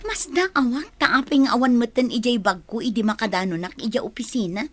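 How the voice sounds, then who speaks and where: Actress as a high-voiced, insistent Filipino daughter. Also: female voice, fairly quick, fairly bright tone, no room sound, faint music behind. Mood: upset, insistent. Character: insistent, upset young adult daughter. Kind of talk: Filipino radio drama acting.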